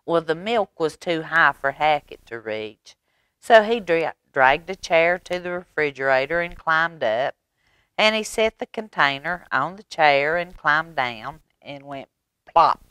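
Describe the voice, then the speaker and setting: A woman reading a picture book aloud at storytime for young children, animated, with chatty asides to her listeners. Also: low voice, a little slow, clean tone, no room sound.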